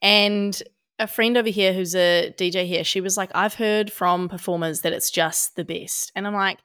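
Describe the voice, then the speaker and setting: A young adult woman from Australia co-hosting a podcast, talking casually with a husky voice from a head cold and many fillers. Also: steady tone, moderate pace, fairly bright tone, dry recording, studio quality. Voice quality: husky, deep. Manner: chatty, laughs a lot, many fillers like "hey". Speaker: podcast co-host. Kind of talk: casual chat.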